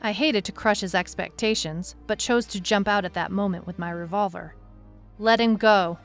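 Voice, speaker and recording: A woman's voice: medium pitch, moderate pace, natural voice. Determined female detective, played by a woman, mid-range voice, first-person audio drama narration with music underneath. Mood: urgent. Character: determined female detective telling her own case. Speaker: lead narrator.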